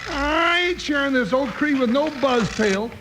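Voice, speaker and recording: An American man playing a comic, excitable folksy cowboy sidekick with an exclamatory drawl in an old-time radio western. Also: extremely high pitch, measured pace, full character voice. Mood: puzzled, indignant. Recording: noisy old radio recording.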